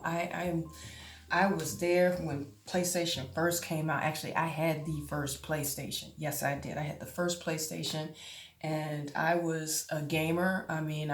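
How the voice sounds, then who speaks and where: A woman in her late forties talking casually to camera as a vlogger, chatty and often laughing, with drawn-out exclamations. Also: deep voice. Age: 40s. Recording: echoey room with music behind.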